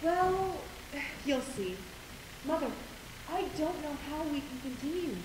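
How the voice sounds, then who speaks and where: A woman's voice: high-pitched, lively intonation, unhurried. A young woman on stage playing an anxious, deferential nun. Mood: worried. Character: anxious, deferential nun serving a Mother Superior. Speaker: student stage actor. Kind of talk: stage play acting. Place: school auditorium stage, far camera. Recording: echoey, hissy stage recording.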